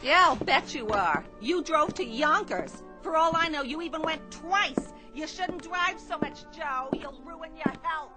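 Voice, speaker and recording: A sarcastic, nagging New wife. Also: female voice, sits high, expressive, over music. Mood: bitter. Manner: sarcastic, nagging, quick retorts. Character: bitter, sharp-tongued working-class wife.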